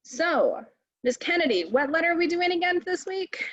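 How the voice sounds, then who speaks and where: Female storytime host for young kids, high lilting voice, playful and chatty, slightly muffled lo-fi recording. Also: expressive, normal speed, a bit bright. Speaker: children's librarian, storytime presenter. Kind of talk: children's storytime read-aloud.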